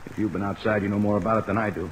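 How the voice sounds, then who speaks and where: An American actor playing a wary, bewildered sailor held in jail, speaking plainly and hesitantly in an old radio drama. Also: male voice, lowish voice, rapid-fire, close to an everyday voice, poor audio. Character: bewildered, wary American seaman jailed for murder. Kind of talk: 1950s radio drama acting. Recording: old radio recording with rain noise. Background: steady rain.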